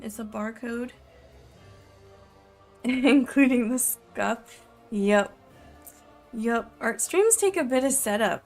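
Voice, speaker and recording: A woman's voice: medium pitch, gravelly. Chatty young woman live-streaming from home. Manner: rambling, chatty, self-deprecating. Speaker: art streamer. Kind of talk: casual live-stream chat. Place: home room in Japan.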